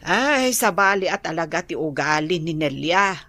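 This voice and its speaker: A woman's voice: on the low side, expressive, normal speed, sharp tone, no echo. A woman with an Ilocano accent playing a chatty, gossipy, stingy neighbor in a radio drama.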